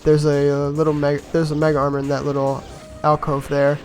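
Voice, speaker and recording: A man with a slightly raspy voice from being a bit sick, giving easygoing, rambling voice-over commentary on his own game run and laughing at his own mistakes. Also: on the high side, completely flat delivery, a little fast, darkish tone, faint music behind. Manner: easygoing, rambling, self-mocking with chuckles. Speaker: gameplay commentator.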